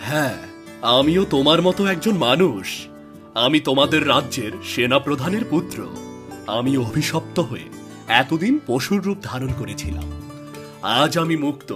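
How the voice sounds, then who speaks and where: A man's voice: fairly high pitch, expressive, moderate pace, music in the background, lightly acted. A man playing a respectful, earnest young warrior in a cartoon fairy tale. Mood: relieved, earnest. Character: respectful young warrior, army chief's son freed from a curse.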